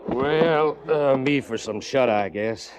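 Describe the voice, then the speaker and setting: An actor playing a gruff, plain-spoken stage driver with a rural Western American accent and folksy bluster, in an old radio western. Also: male voice, mid-range voice, slow, close to an everyday voice. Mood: grumbling. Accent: rural American West. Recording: slightly noisy old radio recording.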